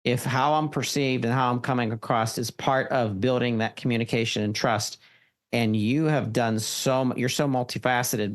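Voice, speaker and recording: A man hosting a podcast interview, asking long, winding questions with restarts. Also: medium pitch. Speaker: podcast host.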